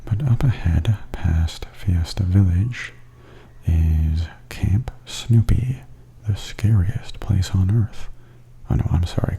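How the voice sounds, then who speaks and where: A man giving softly spoken ASMR tour commentary in a hushed, breathy half-whisper. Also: low-pitched. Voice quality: soft, breathy. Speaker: host of ASMR walking tour videos.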